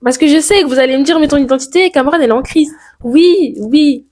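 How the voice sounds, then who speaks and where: A woman's voice: high voice, fast, a little mellow. A teenage girl from France, talking to her camera in a personal vlog and thinking aloud with many fillers and rhetorical questions. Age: teens. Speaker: YouTuber.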